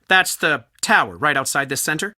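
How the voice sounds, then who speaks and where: A man's voice: fairly high pitch, very animated, normal speed, bright, forceful tone, no room sound, clean studio sound, exaggerated voice. An actor playing a wry, self-pitying male researcher in a video game, speaking in a plaintive, theatrical manner. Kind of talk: video game character dialogue.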